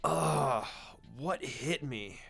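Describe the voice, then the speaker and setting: A man voicing a brash, good-hearted boy ninja who speaks in a blunt, excitable way. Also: medium pitch, drawn-out words, gravelly, husky, pitched below the performer's own voice, heavily acted. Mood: groggy.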